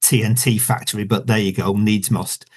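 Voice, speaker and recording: A man's voice: on the low side, fairly even intonation, normal speed, a little mellow, breathy edge. A man with a Yorkshire accent, an author as a guest, talking in a rambling, casual way with many fillers and restarts. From Yorkshire, England. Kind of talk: casual interview chat.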